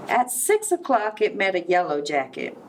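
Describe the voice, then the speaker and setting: A woman reading a storybook aloud to young children, expressive, with explanatory asides. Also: mid-range voice, moderate pace. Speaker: Head Start center director reading to children. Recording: distant mic, clear background noise.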